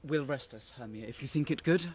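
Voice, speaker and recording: A man playing an ardent young gentleman in love in a classical radio drama, with theatrical, declamatory verse. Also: mid-range voice, a little fast, slight background noise, natural voice. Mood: tender.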